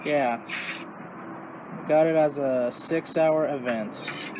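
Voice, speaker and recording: A man's voice: on the high side, slow pace, heavy background noise. A man hosting a hobby video, giving casual commentary as he checks radio equipment results.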